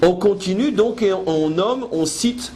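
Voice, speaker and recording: A man's voice: high voice, expressive, a little slow, mellow. A man giving a religious lecture as a rabbi, teaching in an emphatic, didactic way with rhetorical questions. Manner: switches between French and quoted Hebrew verses. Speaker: rabbi teaching a Torah class. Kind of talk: religious lecture with commentary on scripture. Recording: noisy live lecture recording.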